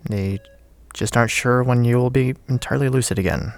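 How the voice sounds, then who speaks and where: An actor playing a friendly, teasing friend with a hesitant, chatty manner in a naturalistic audio drama. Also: male voice, mid-range voice, fairly even intonation, a little fast, vocal fry, dry recording, natural voice. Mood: friendly, nervous, teasing. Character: friendly, teasing male friend leaving a recorded message.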